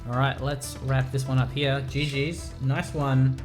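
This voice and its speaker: A man's voice: medium pitch, little pitch movement, measured pace, soft background music. A man casting a strategy game match, bantering with his co-caster. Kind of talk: casual esports commentary.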